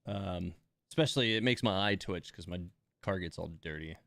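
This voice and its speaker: A man hosting a game livestream, reacting casually in a rambling, hesitant way with drawn-out emphasis. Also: low-pitched, creaky, fairly bright tone, dry recording, clean studio sound. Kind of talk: casual live commentary reacting to game voice lines.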